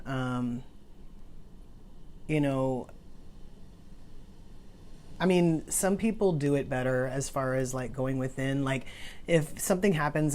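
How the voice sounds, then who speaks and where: A low female voice talks through an oracle card reading as the host. She sounds informal and reflective, as if chatting to viewers. Faint ambient noise sits behind her. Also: darkish tone. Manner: informal, musing, conversational. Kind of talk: casual rambling card reading, partly read aloud from a guidebook.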